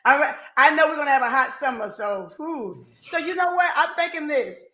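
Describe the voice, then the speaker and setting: A woman in her forties hosts a casual podcast chat over a video call. Her voice is bright and forceful, and it bounces around a roomy, echoey space. She leans on repetition and talks about faith. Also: sits high, normal speed. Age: 40s. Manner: animated, repeats phrases, faith-filled talk. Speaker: podcast host. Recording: echoey room.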